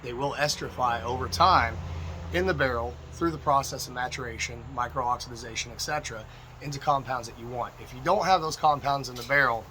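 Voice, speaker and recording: A man with a slightly froggy, hoarse voice gives informal, emphatic instruction as a distilling teacher talking to viewers. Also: medium pitch, sharp tone, slight background noise. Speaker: distilling instructor and video host. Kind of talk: informal instructional talk to camera.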